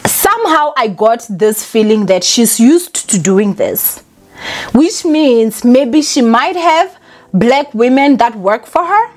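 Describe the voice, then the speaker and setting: A young woman telling a storytime vlog to her viewers in an animated, emphatic way. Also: fairly high pitch. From South Africa. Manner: animated and emphatic, talks to viewers as "guys" and "honey". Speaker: vlogger.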